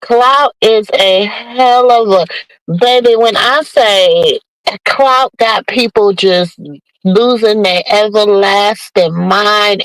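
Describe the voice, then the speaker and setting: A woman's voice: mid-range voice, dry recording, rough recording. Nasal-sounding woman chatting to viewers. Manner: drawling, calls listeners baby and y'all. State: nasal from a sinus infection. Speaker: podcast host. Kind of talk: casual chat to viewers.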